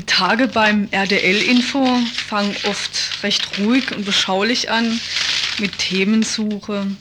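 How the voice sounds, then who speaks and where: A woman's voice: medium pitch, fairly even intonation, takes it slowly. A woman presenting a scripted radio promo, speaking directly to listeners as a member of the news team. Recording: noisy radio recording with clicks.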